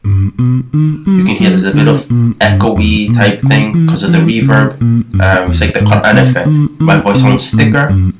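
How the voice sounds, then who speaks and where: A man's voice: medium pitch, expressive, measured pace, pauses often, loud music behind the voice. A man with a thin voice giving a casual, rambling tutorial on nasheed production, often restarting his sentences. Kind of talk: casual screen-recorded tutorial. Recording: echoey room, far from the mic.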